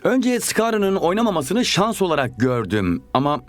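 A man playing an earnest, determined young soccer striker in an animated cartoon dub. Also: sits high, moderate pace. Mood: suspicious.

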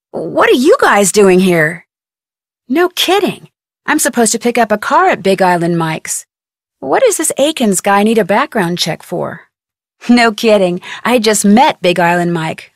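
A woman's voice: medium pitch, dry recording, studio quality. A woman voicing a plucky, inquisitive young amateur detective with an American accent in an adventure game, chipper and conversational. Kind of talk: scripted adventure game dialogue.

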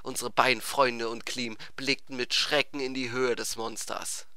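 A man's voice: mid-range voice, little pitch movement, normal speed, bright, forceful tone, clean tone, no echo, studio quality. A man narrating a comic fantasy tale in a theatrical, emphatic scripted style. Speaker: storyteller.

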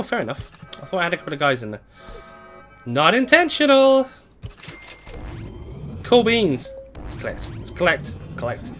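A young man with a hoarse, fading voice, chatting casually to viewers as he live-streams a game at home on a desk mic. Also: on the high side, a bit bright. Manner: chatty, greets viewers, reads chat aloud. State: hoarse, voice going.

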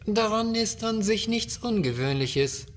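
A man's voice: high voice, lively intonation, measured pace, faint music behind. A man playing a cautious, pedantic crew member in a sci-fi audio drama, formal and fussy. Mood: wary.

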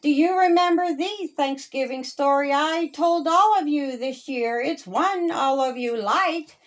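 A woman's voice: sits high, measured pace. A woman voicing a kindly, motherly mouse storyteller in a children's storybook, with a welcoming sing-song delivery. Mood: pleased.

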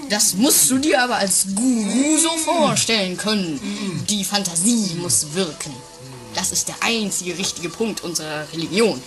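A woman playing an earnest, slightly pompous instructor who speaks in a halting, placating way in an improvised comic radio play. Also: medium pitch, animated, music in the background, natural voice. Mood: patient, insistent.

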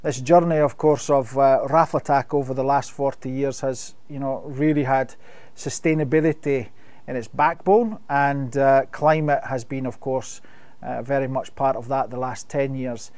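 Scottish man, middle-aged, video call, measured, lots of uhs, very dry room. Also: mid-range voice, steady tone, darkish tone, dry recording. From Scotland. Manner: measured, many fillers and restarts. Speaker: company sustainability expert. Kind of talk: webinar Q&A answers.

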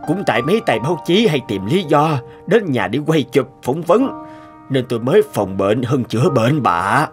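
A man playing a gruff, brusque old scientist in a Vietnamese-style moral cartoon dub. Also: mid-range voice, big pitch swings, normal speed, faint music behind, close to an everyday voice. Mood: apologetic. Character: gruff old male scientist, blunt but ready to apologise. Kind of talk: animated moral tale dubbing.

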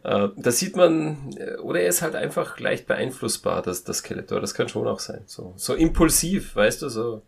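A man's voice: mid-range voice, lively intonation, normal speed, creaky, few pauses, slightly rough. A man in a casual, humorous review chat, thinking aloud hesitantly as a podcast panelist.

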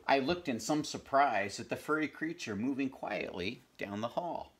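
An older man reads a storybook aloud in a measured, expressive way, recorded at home in a small room. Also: medium pitch. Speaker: storybook reader. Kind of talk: read-aloud storybook narration. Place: small home bedroom, indoors.

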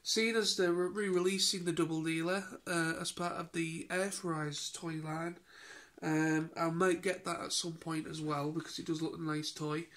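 A tired, congested British man in his thirties chats into a phone held at arm's length in his living room. His voice sits a little high for a man, and he rambles with halting restarts and fillers. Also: flat delivery, fairly bright tone. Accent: British English. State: tired and stuffed up from a cold, sniffling. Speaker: vlogger. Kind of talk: casual daily vlog chat. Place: living room at home, indoors. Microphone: phone camera held at arm's length.

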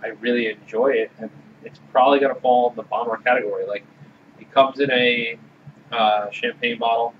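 A man guides a relaxed beer tasting and speaks in a measured, steady way. His voice sits at a middle pitch. The sound is a little dull, with a faint hiss underneath. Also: recorded from a distance. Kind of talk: casual beer-tasting chat. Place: small room with orange walls.

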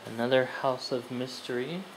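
A collector casually showing off finds, announcing items in short clipped phrases with long pauses. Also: mid-range voice, steady tone. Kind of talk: casual comic haul show-and-tell, listing titles. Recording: background noise, amateur camera microphone.

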